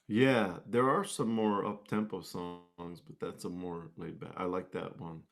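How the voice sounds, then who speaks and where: A man from the United States chatting casually as an interview guest, with a mellow, laid-back manner. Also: deep voice, fairly even intonation, measured pace, vocal fry. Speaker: singer-songwriter guest.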